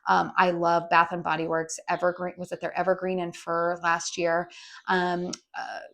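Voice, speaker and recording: A woman with a raspy voice from a head cold, casually reviewing a candle outdoors in a chatty way with lots of ums. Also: on the low side, completely flat delivery, normal speed, fairly bright tone. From US West Coast. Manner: chatty, frequent ums and restarts. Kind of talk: casual product review vlog. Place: outdoors with birdsong.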